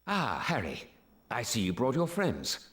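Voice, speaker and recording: Gentle wizard teacher, expressive swings in pitch, measured instructive delivery, video game cutscene. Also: male voice, soft background music. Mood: calm, instructive. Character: kindly adult male wizard professor, gentle and earnest.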